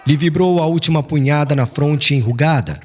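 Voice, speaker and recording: A man's voice: fairly high pitch, normal speed, darkish tone, slight background noise. A man reading an audiobook as a solemn, formal and dramatic literary narration.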